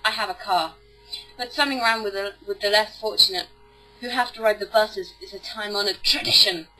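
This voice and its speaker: A woman's voice: mid-range voice, fairly quick, bright, forceful tone, poor audio. A woman giving a theatrical, sarcastic dramatic reading of a novel as an amateur narrator. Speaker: amateur book reader. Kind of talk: mock-dramatic audiobook narration.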